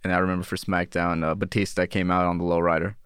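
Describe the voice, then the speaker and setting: Fast-talking very deep-voiced man. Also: level tone, fairly bright tone, clean tone, no room sound, clean studio sound. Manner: rambling, many restarts and fillers. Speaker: podcast co-host. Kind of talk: casual chat.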